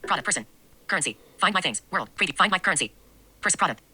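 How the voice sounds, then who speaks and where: Female text-to-speech, screen reader, very bright and hard, dry sound, clipped, flat. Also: lowish voice, fast talker, breathy edge, no echo. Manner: clipped, flat synthetic delivery. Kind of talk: screen reader announcing interface items.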